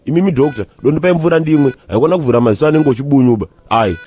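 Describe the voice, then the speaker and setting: A Zimbabwean man playing a bewildered, aggrieved husband and patient who rambles comically in a cartoon, with a husky voice. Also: mid-range voice, rapid-fire, dark tone, natural voice. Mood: aggrieved rambling. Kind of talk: animated comedy dialogue.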